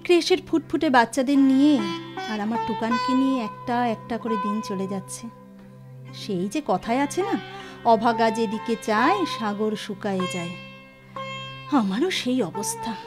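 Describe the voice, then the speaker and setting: A woman's voice: fairly high pitch, expressive, measured pace. An actress in a Bengali audio drama playing a careworn, resigned mother, with an earnest dramatic delivery. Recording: studio drama with music and drums behind.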